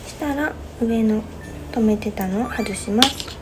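A young woman in her twenties, a hairstylist, calmly explaining each step of a hair styling tutorial. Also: on the high side, measured pace, noisy background. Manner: gentle step-by-step instruction. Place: small bright studio indoors.

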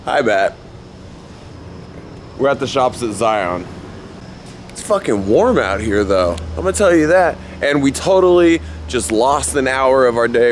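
An American man in his thirties chats casually to the camera in a laid-back, slangy, sweary way. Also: fairly low pitch. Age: 30s. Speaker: vlogger. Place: outdoors at night at gas stations and parking lots. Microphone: handheld camera at about arm's length. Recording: noisy outdoor roadside, clear background noise.

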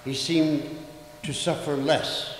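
An older man in his seventies, a Polish speaker too, giving a measured, theatrical formal reading on stage. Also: fairly high pitch, fairly even intonation. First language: English and Polish, Polish first language. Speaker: actor and reader in a recital. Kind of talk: formal dramatic reading of letters. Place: concert stage in a hall. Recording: echoey hall with audience noise.

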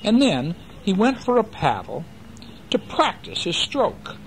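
An older man reading a children's book aloud at home and chatting gently with a child between passages. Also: high-pitched, very animated, measured pace, background noise. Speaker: father reading a bedtime story. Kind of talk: bedtime story reading to a child.

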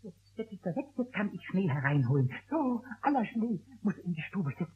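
A very high-pitched, mischievous little kobold in a dull old radio play recording. He is excitable and childlike, tumbling over his words and slipping into sing-song rhymes. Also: a little fast. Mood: busy, scheming. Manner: exclaiming, stammering, sing-song rhyming. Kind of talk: 1960s German radio play acting.

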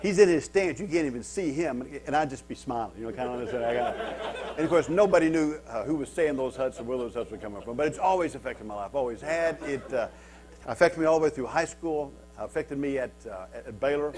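A man's voice: mid-range voice, animated, a little fast. A man in his fifties, from Kansas, speaks to a men's retreat in a wood-paneled church hall. He stutters openly, repeating words and filling gaps with "uh" while joking about it. A light mains hum and faint music sit under his lapel mic. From Kansas, USA. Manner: stutters, with many repeats and fillers, self-mocking humor. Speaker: guest speaker. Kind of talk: storytelling talk to a men's retreat.

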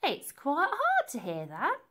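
A woman voicing a scatty, dramatic but kind-hearted cleaning lady in a storybook, with exclamatory, drawn-out delivery. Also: sits high, wildly swooping pitch, fairly bright tone, voice pushed up, full character voice. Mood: restless, puzzled.

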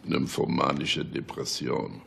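An actor in a radio drama playing a grumpy, impatient police chief who snaps orders sarcastically. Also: male voice, rumbling low, little pitch movement, slow, gravelly, heavy background noise, full character voice. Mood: irritated, bossy. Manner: bossy, snapping, sarcastic. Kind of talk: German radio drama acting.

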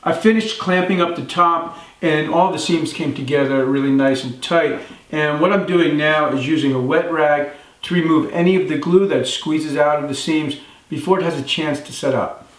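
A man in his thirties calmly walking through the steps of a woodworking project as a channel host, recorded in his workshop. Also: on the high side, moderate pace. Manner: calm step-by-step explaining. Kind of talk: instructional workshop narration. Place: woodworking shop, indoors. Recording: echoey workshop room.

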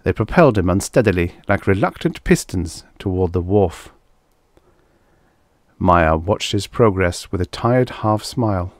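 A man reading literary science fiction as an audiobook narrator, with measured, expressive delivery and deliberate phrasing. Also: low voice, dry recording, clean studio sound.

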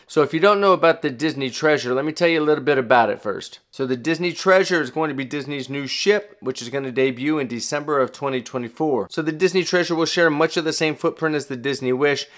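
A man's voice: a little high, fast talker, few pauses, fairly bright tone. Chatty middle-aged man hosting a vlog. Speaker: travel vlogger and host. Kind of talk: casual explainer talk to viewers.

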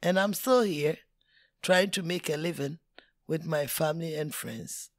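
A woman in her sixties with a Ghanaian accent, telling her life story as an interview guest in a deliberate, talkative way. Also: deep voice. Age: 60s. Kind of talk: casual interview.

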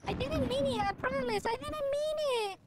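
A man trolling in an online shooter through a pitch-raising voice changer, playing a whiny, innocent childlike persona. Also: sing-song, a little slow, heavily acted. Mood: pleading. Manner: whiny, exclaiming. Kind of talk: trolling chat during an online shooter game. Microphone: headset mic. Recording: gunfire noise behind the voice.

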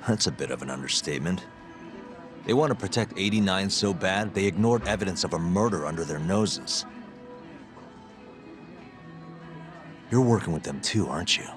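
A man's voice: sits low, animated, fairly quick. A man playing a defiant ex-lawyer detective in a video game drama, with a laid-back, sardonic, probing manner. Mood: accusing. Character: cocky, defiant male ex-lawyer turned detective. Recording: music under the dialogue.

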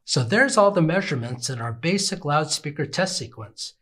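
An older man with a slightly husky voice gives scripted instructional narration in an orderly, explanatory manner. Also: fairly high pitch, lively intonation, moderate pace, a bit bright. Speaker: product demonstrator.

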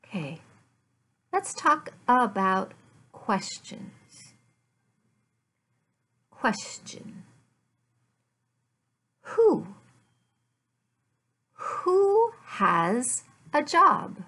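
Very slow-paced woman teacher, slightly high voice. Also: big pitch swings, pushed and bright. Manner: very deliberate, careful enunciation, repeats phrases for learners. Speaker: English teacher. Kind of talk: slow, simplified language lesson read aloud. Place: furnished room at home.

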